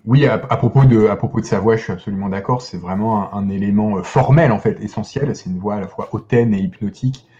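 A man's voice: on the low side, fast talker, few pauses, warm tone, dull audio. A man in a casual podcast discussion as a guest critic, hesitating and repeating words as he searches for them.

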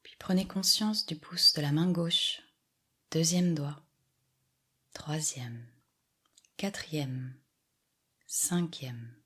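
A woman guiding a yoga meditation with soft, soothing scripted instructions and long pauses. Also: low voice, breathy edge. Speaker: yoga nidra relaxation guide.

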